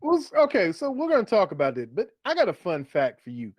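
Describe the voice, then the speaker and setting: A man's voice: sits high, huge pitch swings, fast, no echo. A man co-hosting a fantasy football show in a casual, conversational way, posing questions. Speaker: fantasy football podcast co-host. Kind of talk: casual sports chat.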